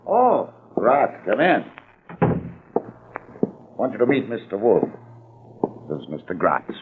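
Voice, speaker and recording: A cold, domineering crime boss. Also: male voice, fairly low pitch, huge pitch swings, slow, noisy background, heavily acted. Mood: sly. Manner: clipped, domineering, sly. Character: commanding middle-aged male ringleader, cold and menacing. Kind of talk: 1940s radio acting. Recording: tinny old radio broadcast.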